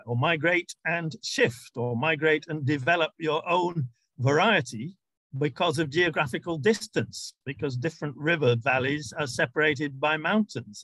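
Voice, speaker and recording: A man giving an academic lecture in a measured, explanatory way, with hesitant pauses and informal asides. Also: on the high side, a bit bright, no echo, poor audio. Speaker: university lecturer.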